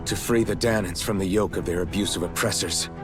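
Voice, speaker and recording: A man's voice: low-pitched, fairly even intonation, a little fast, close to an everyday voice. A man playing an earnest, determined young masked rebel swordsman in a video game cutscene. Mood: defiant, rousing. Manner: earnest, resolute. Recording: music behind the voice.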